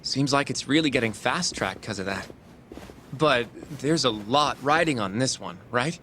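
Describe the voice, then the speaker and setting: Earnest young lawyer, mid-pitched. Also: male voice, expressive, moderate pace, bright, forceful tone, slight background noise. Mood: curious. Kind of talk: English game dub acting. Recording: music underneath.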